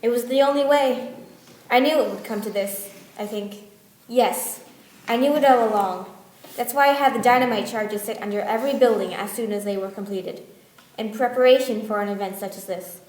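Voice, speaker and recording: A woman's voice: on the high side, moderate pace, darkish tone, natural voice. A woman playing a proud, embattled male Yankee inventor in a radio play, earnest and dramatic. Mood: grim resolve. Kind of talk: stage-style radio play acting. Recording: echoey room, faint music behind.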